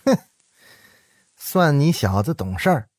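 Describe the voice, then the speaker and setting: Senior manservant for audio drama, played by a man: brash, mocking, raised pitch, very animated. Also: unhurried, slightly rough, dry recording, heavily acted. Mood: teasing. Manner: brash, teasing with underlings. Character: bossy, mocking male senior servant, servile to his master.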